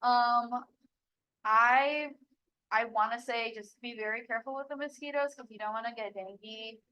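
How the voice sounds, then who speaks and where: A woman's voice: fairly high pitch, normal speed, pushed and bright, clear, resonant tone, lo-fi sound. A young woman from Bali giving casual, chatty advice as a local guide, with lots of "like" fillers. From Bali, Indonesia. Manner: chatty, many "like" fillers, laughs easily.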